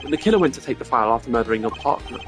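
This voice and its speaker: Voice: medium pitch, lively intonation, rapid-fire, over music, voice pushed down, close to an everyday voice. A streamer voicing a cool, precise male prosecutor who speaks formally and with faint condescension, reading lines over a game. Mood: coolly logical.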